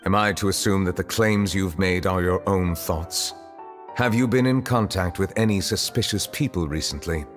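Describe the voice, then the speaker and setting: A man performs a grave, ancient magistrate from a fantasy game. His voice sits very low, and he questions people in formal, composed, restrained phrases. Music plays underneath. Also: moderate pace, lightly acted. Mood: grave, questioning. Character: solemn, composed, centuries-old male Chief Justice interrogator. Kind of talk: scripted video game voice acting.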